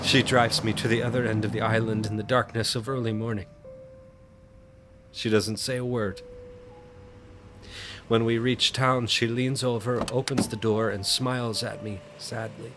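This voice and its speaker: An actor playing a haunted, obsessive man who narrates his supernatural terror in an intense, halting audio drama delivery. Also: on the low side, flat delivery, natural voice. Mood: haunted, subdued. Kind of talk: audio drama first-person horror narration. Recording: loud music under the voice. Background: dramatic music.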